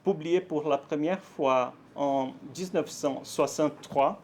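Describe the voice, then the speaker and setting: Brazilian man giving a halting talk in French. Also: on the high side, slight background noise. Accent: Brazilian Portuguese. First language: Portuguese. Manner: halting, careful French with frequent fillers and self-corrections; nervous, warm, laughs lightly. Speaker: academic speaker at a conference. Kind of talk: conference talk.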